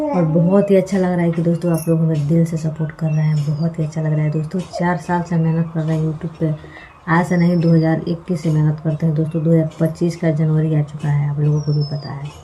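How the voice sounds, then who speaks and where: A woman's voice: deep voice, mellow, slight background noise, rough recording. A woman vlogger giving casual opinion commentary to her viewers in an earnest, pleading manner, repeating points for emphasis. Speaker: video blogger commenting on online couple drama.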